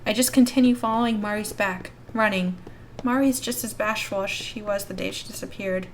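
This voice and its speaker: A woman's voice: medium pitch, fairly quick, a little hiss or hum, low-quality recording. A woman reading a story aloud expressively as the host of a gaming video. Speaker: YouTube host reading a visual novel aloud. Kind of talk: expressive read-aloud narration.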